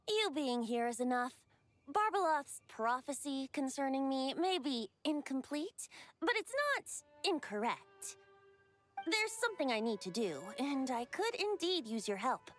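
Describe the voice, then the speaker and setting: A woman playing a youthful, androgynous bard in an anime game, playful and gentle, with a lilting storyteller's delivery. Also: sits high, sing-song, unhurried, soft background music. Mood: warm, friendly.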